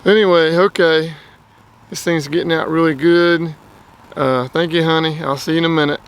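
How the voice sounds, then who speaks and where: Folksy man reviewing gear, higher-pitched voice, background noise. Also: fairly even intonation, moderate pace. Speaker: product reviewer. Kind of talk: casual hands-on radio review.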